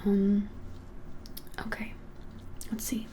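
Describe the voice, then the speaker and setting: A woman in her twenties whispering a casual ASMR shopkeeper roleplay in a breathy, halting, helpful way. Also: medium pitch, monotone, background noise. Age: 20s. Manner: soft, hesitant, halting, helpful explaining. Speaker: ASMR creator playing a witch shop keeper. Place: small plain indoor room.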